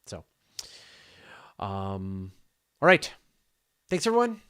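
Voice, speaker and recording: A man's voice: deep voice, huge pitch swings, slow, fairly bright tone, smooth. A man hosting a live astronomy question show, chatting casually and off the cuff with informal enthusiasm.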